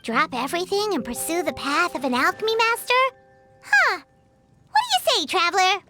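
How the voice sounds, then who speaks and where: A woman voicing a small, childlike, excitable fairy companion in a video game. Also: extremely high pitch, normal speed, over music. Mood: curious, surprised. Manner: excitable, exclamatory, speaks in third person.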